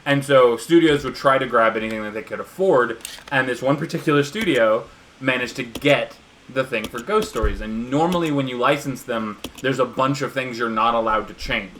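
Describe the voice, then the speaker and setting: A man chats casually over a co-op video game stream, winding through long explanations full of ums and restarts. His voice sits at a middle pitch, with faint noise behind it. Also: normal speed, fairly bright tone, rough recording. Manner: rambling, many fillers and restarts. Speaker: gaming co-streamer. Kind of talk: casual chat while playing.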